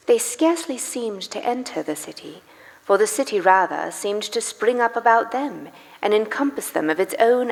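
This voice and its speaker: A woman as the solemn storyteller of a live radio play, narrating in a measured, suspenseful and theatrical way. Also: medium pitch, vocal fry, in a large hall, rough recording. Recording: music under live stage narration.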